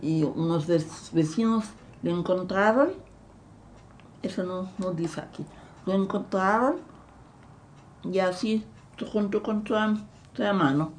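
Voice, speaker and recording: An elderly woman with a German accent, recalling her past in an interview, halting and repeating words as she searches for them. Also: mid-range voice, a little hiss or hum. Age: older. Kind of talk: recounting memories in an interview.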